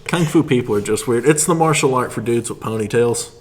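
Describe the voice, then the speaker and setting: A man's voice: medium pitch, fairly quick, heavy background noise. A man as a podcast guest, chatting casually and telling rambling stories in which he acts out what people say. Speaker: podcast guest, martial artist.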